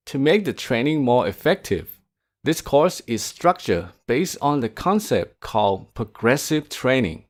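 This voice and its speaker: Calm male course narrator. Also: medium pitch. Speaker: course creator and learner. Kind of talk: explaining a course.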